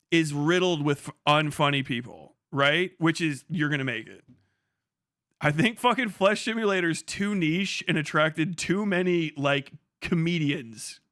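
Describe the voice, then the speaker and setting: A man's voice: fairly high pitch, pushed and bright, no echo, clean studio sound. Profane, rambling male streamer. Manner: rambling, profane, laughs often. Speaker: livestreamer reading chat. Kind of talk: casual livestream chat.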